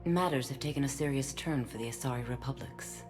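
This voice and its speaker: A woman's voice: a bass voice, fairly quick. A woman voicing a dignified, guarded alien stateswoman in a video game, speaking in a formal, diplomatic way. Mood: grave, formal. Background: music.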